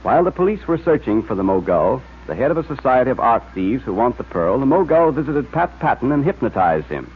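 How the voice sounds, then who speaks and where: A man's voice: mid-range voice, big pitch swings, fairly quick, few pauses, husky. A man announcing and narrating in scripted 1940s radio style. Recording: muffled, tinny old radio recording.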